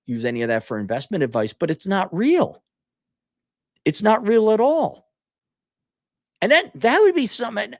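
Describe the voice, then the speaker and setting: A man's voice: fairly high pitch, dry recording, studio quality. A man hosting an off-the-cuff opinionated online commentary, speaking in an exasperated, sarcastic, colloquial way. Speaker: online commentary host answering viewer comments. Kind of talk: off-the-cuff opinionated livestream rant.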